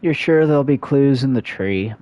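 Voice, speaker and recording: A man's voice: mid-range voice, expressive, normal speed, highs cut off, a little hiss or hum. A man giving casual live-stream game commentary in short, muttered reactions. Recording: loud game music behind voice.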